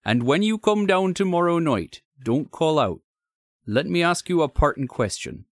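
A man voicing a troubled, courteous railway signalman in a storybook, speaking gravely and haltingly with deference. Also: a little high, animated, no room sound, studio quality, pitched above the performer's own voice. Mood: solemn, insistent.

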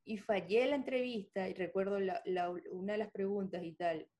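A woman's voice: medium pitch, moderate pace. A young adult woman telling stories in a casual chat, full of fillers and restarts. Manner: animated storytelling, many fillers.

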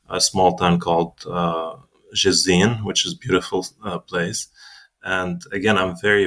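A man, a physician guest on a panel, giving measured answers and repeating phrases for emphasis. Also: low voice, a bit bright. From Lebanon.

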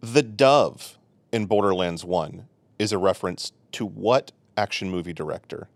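A man's voice: sits low, a little slow, creaky, dry recording. A man hosting a casual podcast, running the chat with playful, jokey asides. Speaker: podcast host.

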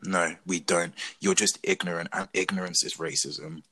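A young Englishman with a low, deep voice and a bright, forceful edge to it, speaking earnestly in a casual conversation. He talks from the heart and stops and restarts mid-thought as he works through his own experiences. The sound is close and dry. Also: steady tone, moderate pace, husky, no room sound. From England. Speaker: discussion participant. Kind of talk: casual discussion.

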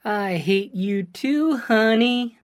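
A woman's voice: mid-range voice, lively intonation, moderate pace, no room sound, natural voice. Woman reading a teasing young male techie, near her own voice, dry sound. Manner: teasing, enthusiastic, lilting. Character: chubby boyish young male tech worker, cheeky.

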